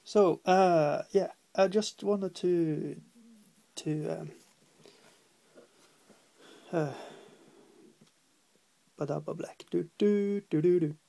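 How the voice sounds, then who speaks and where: Halting Norwegian-accented man, gravelly low voice. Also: warm tone. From Norway. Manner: hesitant, halting, many fillers, humming while working. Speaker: hobby painter. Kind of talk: casual painting tutorial. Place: at a desk indoors.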